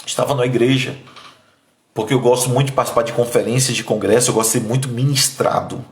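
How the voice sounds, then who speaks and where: A man's voice: little pitch movement, quick pace, reverberant. A man in his thirties from Bahia, Brazil, preaching a sermon and sharing his testimony in an emphatic, pleading way. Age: 30s. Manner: emphatic, pleading, voice dropping low and soft when emotional. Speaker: pastor.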